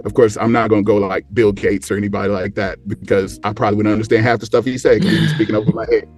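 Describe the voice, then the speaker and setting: Man, casual group chat, informal halting delivery, music behind. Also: on the low side, flat delivery, darkish tone. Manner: informal, halting and searching when thinking. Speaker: show guest. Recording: background music under the voice.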